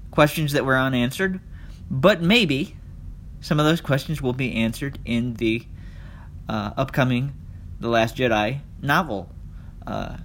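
Man podcasting casually over noisy rumble. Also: fairly bright tone. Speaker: podcast host. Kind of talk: casual solo podcast commentary. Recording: noisy with low background rumble.

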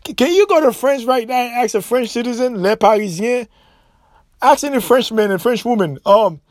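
Man of Haitian origin, high voice, emphatic monologue with repetitions and restarts. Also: dry recording. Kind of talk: impassioned solo commentary.

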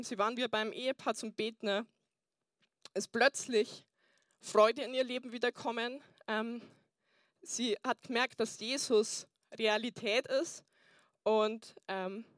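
A woman's voice: a little high, fairly bright tone, dry recording, clean studio sound. A young woman pastor preaching a sermon and telling her personal testimony, earnest with frequent fillers. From Bavaria, Germany.

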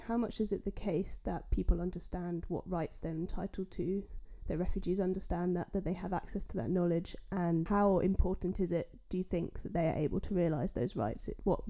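Mellow-voiced woman posing questions. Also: on the low side. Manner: probing, self-correcting phrasing. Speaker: interviewer. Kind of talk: academic interview question.

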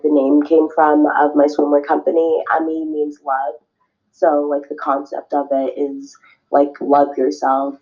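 A young woman raised in Cameroon and California, answering interview questions in a loose, rambling way with many fillers. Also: deep voice. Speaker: guest, swimwear business owner. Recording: dull lo-fi recording.

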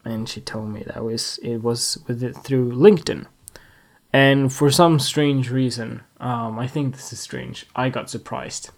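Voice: mid-range voice, steady tone, a little slow. A young adult from Sweden speaks in a mid-range voice. The talk is loose and rambling, full of ums, likes and self-corrections, as a freelance photographer chatting casually to the camera. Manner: rambling, chatty, many fillers and restarts.

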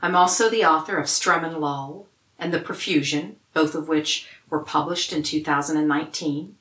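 A woman who is a poet, reading her own prepared words in a measured way. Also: low-pitched, fairly bright tone, echoey room, low-quality recording. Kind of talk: measured scripted poetry reading.

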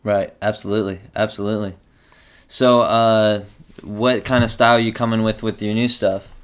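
A man's voice: fairly low pitch, flat delivery, normal speed, smooth. A man hosting a casual interview, friendly and affirming, dropping "bro" and "you know what I mean". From rural area. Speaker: interviewer, host.

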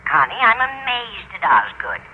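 A woman's voice: medium pitch, huge pitch swings, moderate pace, breathy edge. An actress playing a kindly, absent-minded elderly woman with fluttery, forgetful manner in radio sitcom style. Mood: concerned. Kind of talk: 1940s radio sitcom acting. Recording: tinny, noisy vintage radio recording.

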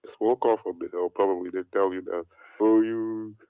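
Deep-voiced man on a preachy rant. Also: fairly even intonation, moderate pace, clean tone, no echo, narrow, tinny audio. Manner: preachy, rambling, repeats words, mocks others in a put-on high voice. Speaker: religious commentator. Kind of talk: heated monologue.

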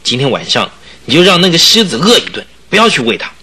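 An actor playing a scheming, indignant young circus performer in a Chinese radio drama, with an urgent, persuasive delivery. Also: male voice, fairly high pitch, big pitch swings, fast, bright, forceful tone, slightly rough, heavily acted. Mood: conspiratorial, urgent. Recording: noisy old radio drama recording.

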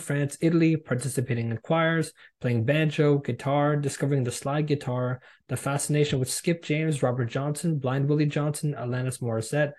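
A man hosting an interview podcast, speaking conversationally in a casual, somewhat halting way. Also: medium pitch, darkish tone.

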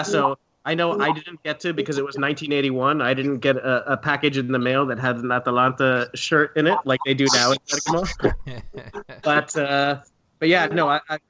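A man's voice: medium pitch, steady tone, normal speed, pushed and bright. Hesitant, stammering man on lo-fi audio. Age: 30s. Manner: hesitant, stammering, many fillers. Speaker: podcast guest. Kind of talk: casual podcast interview. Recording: dull, lo-fi sound.

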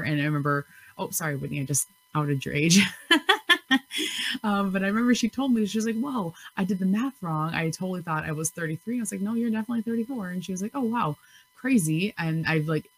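Woman, 30s, solo podcast host; low husky voice, chatty and giggly, loose and unscripted. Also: poor audio. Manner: chatty, giggly, self-correcting.

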